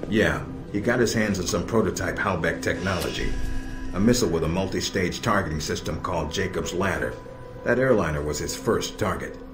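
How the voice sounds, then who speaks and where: An actor playing a calm, sardonic middle-aged spy handler in a video game, measured and dryly blunt. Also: male voice, low-pitched, lightly acted. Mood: dry, serious. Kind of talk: video game cutscene acting. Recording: roomy echo with music behind.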